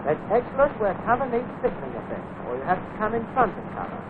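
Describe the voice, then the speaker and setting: A man's voice: sits high, sing-song, fast talker. A British man narrating a 1940s military training film in a clipped, instructive manner, addressing soldiers directly. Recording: tinny, noisy vintage wartime film soundtrack.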